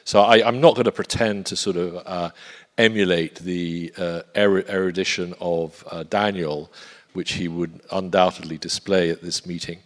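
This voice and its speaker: A Polish man with an English accent, giving a halting conference talk as a panelist, often repeating words as he searches for phrasing. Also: low voice, fairly even intonation. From Poland. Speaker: forum panelist. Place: conference hall. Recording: reverberant hall.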